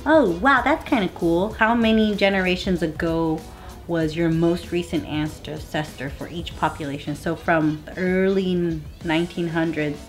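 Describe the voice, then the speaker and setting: A woman's voice: on the low side, steady tone, unhurried, soft background music. A woman chatting casually as a vlog co-host, partly reading results aloud, with a playful, teasing manner. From Vietnamese family.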